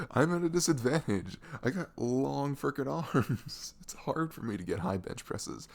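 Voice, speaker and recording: A man playing a sweet, caring young boyfriend in an intimate ASMR roleplay, chatting casually and rambling in a velvety low voice. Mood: playfully griping. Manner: chuckling, informal, loosely rambling.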